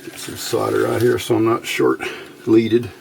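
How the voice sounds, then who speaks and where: A man giving a casual, step-by-step hobby electronics tutorial, unhurried and thinking aloud as he works. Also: lowish voice, little pitch movement, vocal fry. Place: indoors at a workbench by a window. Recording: faint background noise.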